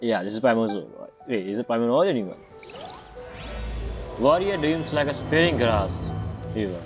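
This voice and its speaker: A man's voice: mid-range voice, lively intonation. Guy streaming a game, informal stop-start talk, bit dull mic, music in back. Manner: halting, informal. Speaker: gaming streamer. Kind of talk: casual gameplay commentary.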